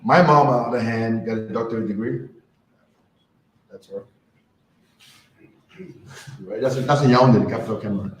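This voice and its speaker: A man's voice: medium pitch, moderate pace, long pauses. A man from France giving a conversational talk to a live audience, often asking the crowd questions. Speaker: conference speaker. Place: event hall. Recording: roomy hall with faint ambience.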